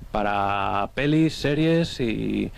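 A man from Bilbao, an audience contestant on a radio quiz, calm and modest. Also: fairly low pitch, extremely slow, creaky, a little hiss or hum. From Bilbao, Spain. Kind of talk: casual chat.

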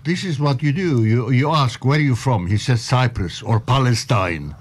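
An older man from Egypt, speaking haltingly with many pauses. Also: mid-range voice, lively intonation. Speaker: interview guest.

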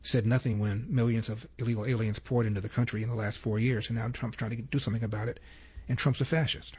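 Male host, sardonic, skeptical, introduces clips, husky voice. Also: lowish voice, steady tone, fast talker, darkish tone. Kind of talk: commentary between clips.

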